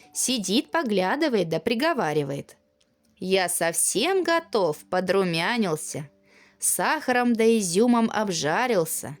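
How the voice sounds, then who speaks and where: A woman narrating a children's fairy tale in an expressive, singsong storytelling manner. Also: medium pitch. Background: music.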